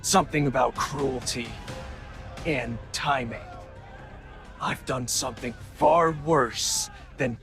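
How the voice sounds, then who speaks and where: An actor playing a vengeful, menacing superhero in a comic-book drama, speaking with deliberate, measured pauses and a gravelly edge. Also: male voice, on the high side. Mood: menacing, contemptuous. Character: vengeful, menacing male superhero threatening his enemy. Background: music.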